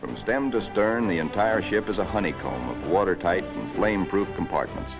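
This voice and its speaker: A man giving scripted narration for a 1940s wartime documentary, in a measured, solemn way, on an old film soundtrack. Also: low-pitched, expressive, muffled recording, over music.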